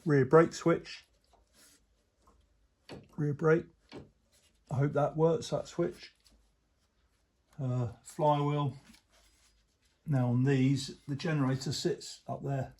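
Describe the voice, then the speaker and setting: Relaxed man talking through a repair. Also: fairly high pitch, slow, long pauses. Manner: relaxed, explanatory, many fillers. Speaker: motorcycle restorer, channel host. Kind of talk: casual workshop talk-through. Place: workshop or shed.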